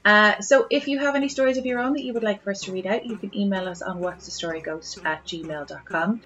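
A woman's voice: medium pitch, fast, a bit bright, highs cut off. An Irish woman co-hosting a podcast in casual chat, with an exclamatory, storytelling manner. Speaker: podcast co-host.

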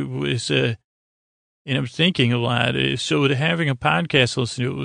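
A man hosting a podcast in a rambling bedtime monologue, with a creaky voice and a halting, meandering delivery that stumbles over words. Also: mid-range voice, a bit bright, dry recording, studio quality. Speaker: podcast host.

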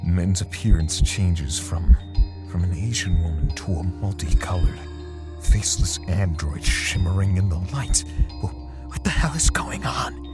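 Man playing a deep-voiced, brooding artist. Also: animated, music in the background. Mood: unsettled. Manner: halting, with sighs and pauses. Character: bitter, defensive male portrait artist hiding guilt. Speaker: voice actor in an audio drama. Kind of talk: audio drama dialogue and first-person narration.

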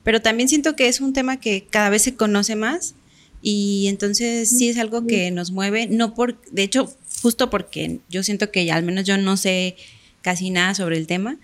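A woman with a medium-pitched voice co-hosting a relaxed podcast. Her talk is easygoing and conversational. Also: normal speed. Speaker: podcast co-host. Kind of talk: casual interview chat.

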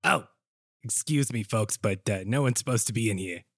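A man's voice: on the low side, moderate pace, no echo, studio quality. A man playing a folksy, polite, mysterious ferry captain in an audio story, speaking hesitantly. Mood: apologetic.

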